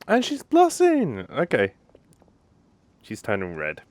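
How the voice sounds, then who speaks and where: A man's voice: medium pitch, huge pitch swings, slow pace, a little mellow, dry recording. A man giving casual let's play commentary as a gaming host, tossing short asides over the game dialogue. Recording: game music behind the voice.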